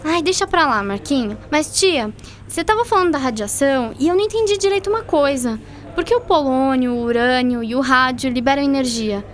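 A woman's voice: high-pitched, lively intonation, fairly quick. A woman with a Brazilian accent playing a curious, eager young schoolgirl who loves science, in a radio drama. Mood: curious, chatty.

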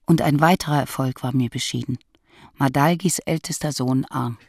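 An actress in a radio drama playing a disguised woman priest who reflectively narrates her life in measured speech. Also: very deep voice, steady tone, vocal fry, a little mellow, slightly rough, dry recording, full character voice. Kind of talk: scripted first-person radio drama narration.

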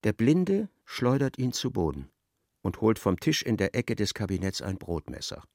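Detached storyteller, low gravelly voice, dry close sound, matter-of-fact, radio play. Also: male voice, big pitch swings, moderate pace, darkish tone, dry recording. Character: detached male literary narrator of a grim tale. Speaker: narrator. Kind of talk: scripted radio drama narration.

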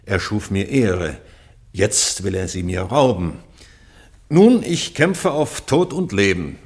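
A low-voiced, stately king in a classical radio play. He declaims with proud defiance and courtesy, his husky voice rising and falling widely. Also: very animated, slow pace, heavily acted. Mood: dignified, resolute. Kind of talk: classical radio drama acting.

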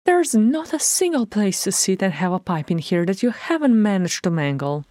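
A woman's voice: mid-range voice, very animated, normal speed, warm tone, no room sound, studio quality, pitched above the performer's own voice, lightly acted. A woman voicing a fussy, scolding middle-aged male hobbit in a fan audiobook reading, chiding and exasperated.

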